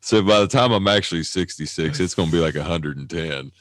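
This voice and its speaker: Low-voiced man, 30s, podcast co-host; loose, profane, self-mocking, dry mic. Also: a little fast, dry recording. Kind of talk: casual chat.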